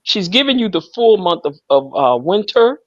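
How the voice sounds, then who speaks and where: A woman's voice: mid-range voice, very animated, moderate pace. A playful woman co-hosting a gossip show, teasing in with sing-song emphasis. The sound is dull and lo-fi. It is dry, with no room echo. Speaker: co-host. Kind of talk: casual gossip chat.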